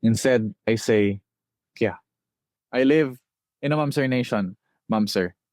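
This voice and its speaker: A young Filipino man with a Filipino accent, a spoken-word poet reciting his poems from memory with rhythmic phrasing and dramatic pauses. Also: medium pitch, a little mellow, dry recording. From Philippines.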